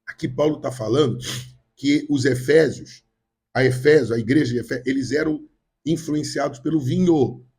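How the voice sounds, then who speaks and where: A man's voice: medium pitch, moderate pace, a little mellow, breathy edge. A man from Brazil with a Rio de Janeiro accent preaching a sermon to a church, repeating and stressing his points, currently getting over a cold. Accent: Brazilian Portuguese, Rio de Janeiro. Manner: repetitive, emphatic preaching with direct address to the congregation. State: has a cold. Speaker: pastor.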